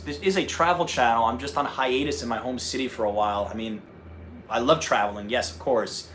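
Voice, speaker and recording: North jersey dude chatting on a livestream, mid pitch, bit of noise behind. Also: male voice, fairly bright tone. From North Jersey, USA. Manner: chatty, answers viewer questions, restarts and fillers. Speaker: travel vlogger hosting a livestream. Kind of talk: casual livestream chat.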